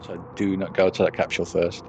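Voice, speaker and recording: A man's voice: sits low, level tone, quick pace, noisy background. A man giving casual playthrough commentary as a gaming streamer, muttering to himself as he thinks aloud. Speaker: gaming streamer reading all game text aloud.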